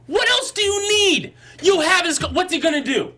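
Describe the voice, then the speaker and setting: A man's voice: extremely high pitch, big pitch swings, normal speed, bright, forceful tone. A man co-hosting a political panel discussion, talking in a heated, passionate way and raising his voice. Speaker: co-host.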